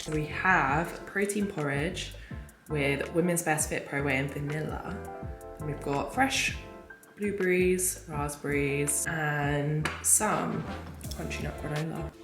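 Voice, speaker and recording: A woman's voice: deep voice, takes it slowly, over music. A woman, chatting casually in a home vlog with a stuffy nose from a cold. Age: late 20s. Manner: chatty and upbeat. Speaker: lifestyle vlogger. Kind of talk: casual vlog chat to camera. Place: home kitchen.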